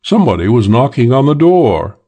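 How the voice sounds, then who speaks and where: A man voicing a suave, self-assured English gentleman thief telling a wry crime story for an audiobook, with old-fashioned British slang. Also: mid-range voice, big pitch swings, a little fast, breathy edge. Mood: cool, matter-of-fact.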